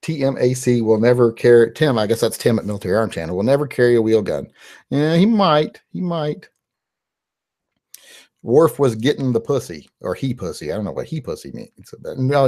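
A man's voice: medium pitch, a little mellow. A man in his forties from West Virginia, hosting a live-stream Q&A and answering viewer questions in a loose, rambling way with lots of "uh" fillers, recorded on a studio mic in a small home studio. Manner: rambling and conversational, with frequent "uh" fillers. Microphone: large studio mic on a boom, headphones.

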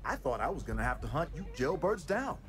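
Mocking superpowered villain, high voice, hugely swinging pitch, smug game cutscene taunts. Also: male voice, very animated, fairly quick, over music. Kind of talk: video game villain acting.

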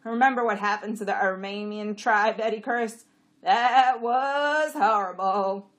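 A woman's voice: mid-range voice, measured pace, a bit bright, voice pushed up, lightly acted. A woman voicing a dim, bickering male pack donkey with a blunt manner in an audiobook story. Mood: puzzled, uneasy. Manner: blunt, stubbornly echoing complaints. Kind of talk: audiobook character voice.

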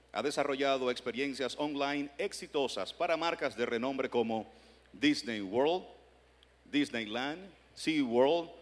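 A man emceeing a conference, reading a formal speaker introduction from the stage into a handheld mic. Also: mid-range voice. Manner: formal, read-aloud introduction. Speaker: event emcee. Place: large conference hall stage. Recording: long hall reverb.

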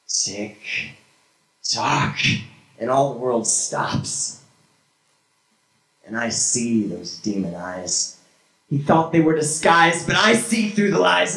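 A young man performing spoken word poetry on a small church stage with a handheld mic, dramatic and swinging from hushed to shouted. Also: medium pitch, creaky. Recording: echoey room from a far camera.

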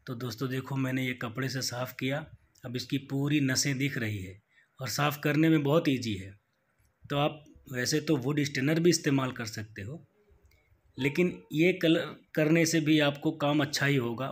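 A man in his thirties, a wood polisher, explaining his work step by step in a plain, practical way, with small restarts. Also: medium pitch. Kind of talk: how-to voice-over.

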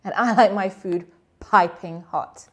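A woman presenting a church segment, trading brisk, playful lines with her husband in a studio. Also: medium pitch. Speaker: church leader. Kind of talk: scripted back-and-forth with her husband. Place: studio set.

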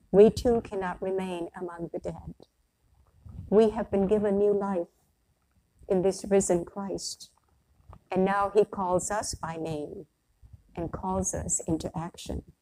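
An older woman, a priest, delivers a prepared sermon in measured, deliberate phrases with pauses. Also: mid-range voice, dark tone, rough recording. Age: older, around 60s. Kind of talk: sermon, read from a prepared text. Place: church sanctuary, at the pulpit.

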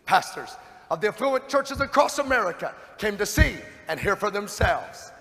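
Man acting a high, declamatory stage narrator. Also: big pitch swings, normal speed, fairly bright tone, exaggerated voice. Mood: grand, proclaiming. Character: proud theatrical narrator of a historic revival. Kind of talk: theatrical scripted narration. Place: large theatre stage, indoors. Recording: echoey large theatre hall.

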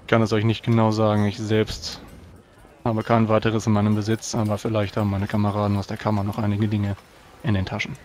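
Low voiced guy playing a proud formal knight in a tabletop game, noticeable background noise. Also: monotone, a little fast, darkish tone. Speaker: tabletop role-playing player. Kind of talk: in-character role-play narration.

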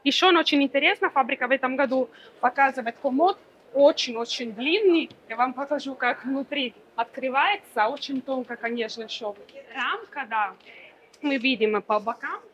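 A woman's voice: high-pitched, normal speed, fairly bright tone, a little hiss or hum, rough recording. A woman in her thirties presenting furniture at a fair stand, explaining fluently with many small fillers. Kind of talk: product demonstration.

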